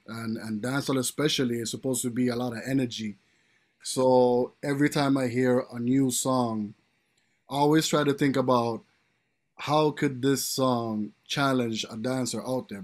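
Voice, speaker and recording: A man talking as a guest DJ in a casual panel chat, laid-back, his phrases often trailing off quietly. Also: mid-range voice.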